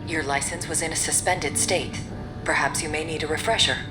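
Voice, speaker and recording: A woman voicing a calm, formal AI support system in a game, impersonal, polite and precise. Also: low-pitched, brisk, echoey room, loud music behind the voice, lightly acted.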